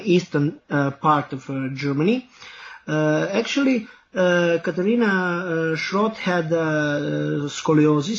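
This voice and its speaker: A man with a Serbian accent, a guest therapy instructor, explaining in a halting way with many fillers. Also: on the high side, a little hiss or hum. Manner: halting, heavy filler words. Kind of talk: podcast interview. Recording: dull, muffled audio.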